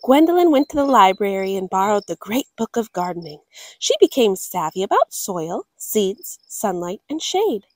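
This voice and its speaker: A woman reading a picture book aloud for children, as a story time host, with deliberate, dramatic phrasing. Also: mid-range voice, big pitch swings, darkish tone, no echo, poor audio.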